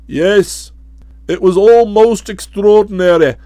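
A woman's voice: mid-range voice, slow, mellow, no echo, lightly acted. A woman voicing a pompous, towering English aristocrat who is dazed after a fall, speaking in a stiff and formal way in an audiobook. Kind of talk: audiobook character reading.

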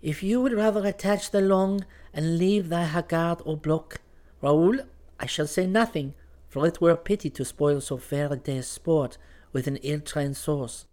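A man's voice: a little high, a little slow, darkish tone, pitched above the performer's own voice, close to an everyday voice. A man voicing a gracious young French noblewoman falconer in an audiobook, with courtly, archaic phrasing sprinkled with French. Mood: commanding. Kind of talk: audiobook character voice.

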